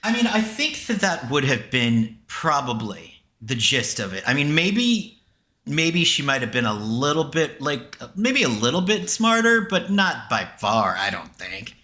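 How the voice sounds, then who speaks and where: A man's voice: mid-range voice, big pitch swings, a little slow, sharp tone. An American man co-hosting a podcast in casual, joking, rambling chat. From southern United States. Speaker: podcast co-host. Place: indoors on a video call. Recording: roomy, echoey sound.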